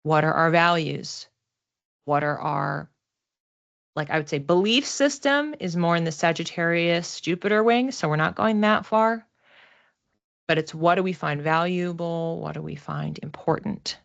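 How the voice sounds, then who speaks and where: Female instructor, on the lower side, informal explanatory delivery for a live class, slightly lo-fi sound. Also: measured pace, creaky, fairly bright tone. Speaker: astrology class teacher. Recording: slightly dull, lo-fi audio.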